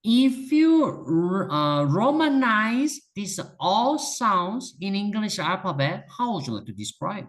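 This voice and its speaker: A native Korean speaker teaching in English with a clear Korean accent. The voice rises and falls a lot as they explain. They are patient and encouraging, and they often say things twice for emphasis. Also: mid-range voice, very animated, takes it slowly, hardly any pauses. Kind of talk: one-on-one language lesson.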